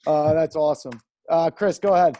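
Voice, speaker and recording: A man in his thirties hosting a panel, hesitant and halting, with many "uh" and "um". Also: fairly high pitch, warm tone. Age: 30s. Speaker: host.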